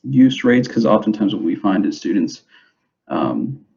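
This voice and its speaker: A young man who grew up in the United States, giving an informal presentation to parents in a hesitant, halting way, on a dull, muffled recording. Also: fairly low pitch, sing-song, darkish tone. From grew up in the United States, born in El Salvador. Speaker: school substance abuse prevention specialist.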